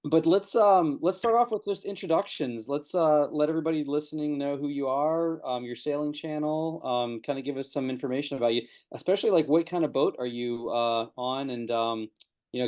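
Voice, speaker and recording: A man hosting a podcast over a video call, chatty and quick to laugh, with easy asides. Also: mid-range voice, darkish tone. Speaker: podcast host. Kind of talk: casual video-call interview.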